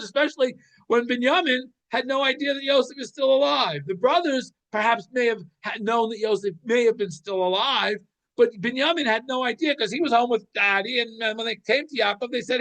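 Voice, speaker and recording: A man giving an informal religious lesson, explaining scripture like an animated storyteller who thinks aloud and repeats phrases. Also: extremely high pitch, rough recording. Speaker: Torah teacher.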